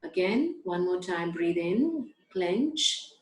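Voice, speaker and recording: Slow, soothing woman guiding a meditation. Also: on the low side, lively intonation. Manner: slow, soothing instructions with long pauses. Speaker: meditation guide. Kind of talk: guided breathing meditation. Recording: echoey room.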